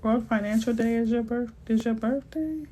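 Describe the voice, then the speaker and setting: A woman's voice: on the high side, normal speed, mellow, a little hiss or hum. Chatty middle-aged New Orleans woman. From New Orleans, Louisiana. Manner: chatty and playful, with slang and asides. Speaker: realtor hosting a live Q&A. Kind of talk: casual live-stream chat answering viewers.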